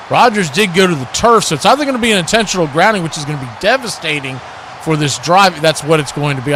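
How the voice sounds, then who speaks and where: A man doing radio-style live football play-by-play commentary, mixed with casual asides. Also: on the high side. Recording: steady background noise.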